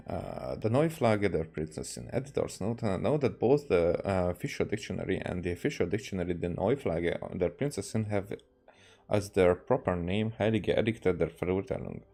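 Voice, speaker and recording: Male streamer, native Hungarian: dark, lowish tone, casual halting read-aloud commentary. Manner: halting, re-reads stumbled words. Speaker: game streamer. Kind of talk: casual gameplay commentary, reading game text aloud.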